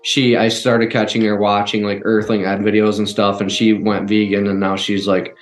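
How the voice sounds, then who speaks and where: A man's voice: fairly low pitch, flat delivery, normal speed, roomy sound, faint music behind. A man speaking as an interview guest in a casual, rambling way, full of "like" fillers. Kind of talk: casual conversation.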